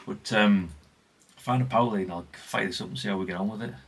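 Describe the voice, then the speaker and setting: Deep, bright-voiced man. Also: fast talker, vocal fry, a little hiss or hum. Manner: colloquial, chatty, frequent tag questions. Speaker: vlogger, radio collector. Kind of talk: casual rambling vlog chat.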